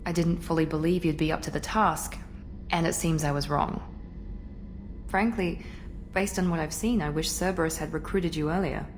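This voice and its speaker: A woman's voice: low voice, steady tone, normal speed, a little hiss or hum, natural voice. A woman playing a proud, cool elite operative in a video game, frank and composed. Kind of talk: video game character acting.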